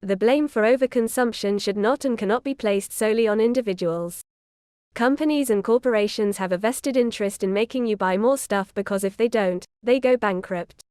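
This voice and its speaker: Smooth, clear woman reading aloud. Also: mid-range voice, a little mellow, no room sound, studio quality. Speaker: reader of practice passages. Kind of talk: scripted read-aloud narration.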